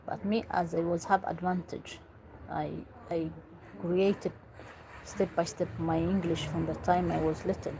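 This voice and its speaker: A woman's voice: lowish voice, level tone, unhurried, background noise. A woman from Eritrea, earnestly giving parenting advice in English and repeating herself for emphasis. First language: Tigrinya or other Eritrean language. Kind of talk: earnest talk to camera.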